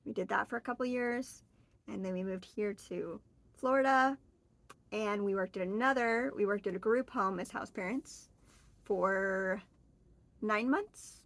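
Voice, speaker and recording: A woman's voice: on the high side, a bit bright. A woman in her forties from Oregon, chatting on her own to camera in a rambling, friendly way. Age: 40s. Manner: chatty, rambling, friendly, with fillers and self-corrections. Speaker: vlogger. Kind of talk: casual solo chat to camera.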